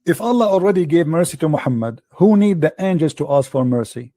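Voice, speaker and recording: Insistent man with a dry, mellow voice. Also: on the high side, lively intonation, fairly quick, dry recording. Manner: insistent, repeats points, nonstandard English grammar. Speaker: debate host. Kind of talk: argumentative religious debate.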